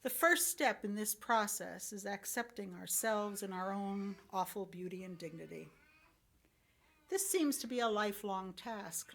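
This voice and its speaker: A woman reading a sermon from a script as a lay church speaker, in earnest, deliberate phrases. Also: mid-range voice, fairly bright tone. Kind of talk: sermon, read from a script with short personal commentary.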